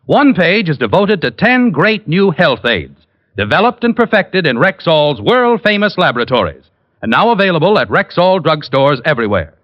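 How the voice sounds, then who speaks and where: A man reading a scripted sponsor message as a 1940s radio announcer, polished and emphatic. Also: on the high side. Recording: muffled vintage radio, music behind.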